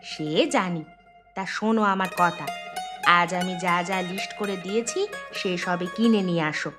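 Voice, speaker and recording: A woman's voice: lowish voice, normal speed, music in the background, lightly acted. A woman voicing a practical village housewife giving instructions in a cartoon. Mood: practical, instructing. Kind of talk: cartoon dialogue.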